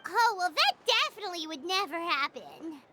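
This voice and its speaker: A woman voicing a small, excitable fairy companion girl in a video game, with a squeaky childlike voice and an eager manner. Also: moderate pace, background noise. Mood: reassured. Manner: exclamatory, eager. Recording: game music over the voice.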